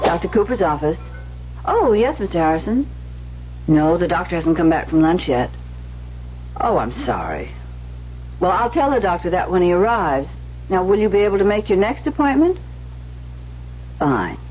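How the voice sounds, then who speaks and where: Shy young receptionist gal, old radio drama, voice goes up and down a lot, muffled hummy tape. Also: female voice, fairly low pitch, sing-song, normal speed, long pauses, soft background music. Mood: polite, businesslike. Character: lonely, shy young woman receptionist at a doctor's office. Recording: muffled vintage radio recording with hum.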